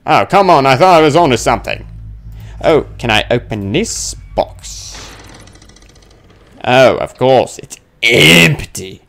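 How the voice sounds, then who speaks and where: A man with a creaky voice comments casually on a game as he plays. His pitch swings around freely while he thinks out loud at an unhurried pace, grumbling and tossing in playful exclamations. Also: lively intonation. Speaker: gamer playing a horror game on his channel. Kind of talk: casual let's play commentary, thinking aloud.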